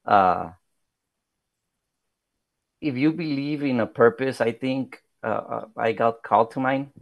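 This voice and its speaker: A man's voice: mid-range voice, takes it slowly, gravelly, dry recording, muffled recording. A man in his thirties from South Texas, a native Spanish speaker with a Spanish accent, talking in a podcast interview in a modest, hesitant way with many fillers and restarts. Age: 30s. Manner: modest, soft-spoken. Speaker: political candidate.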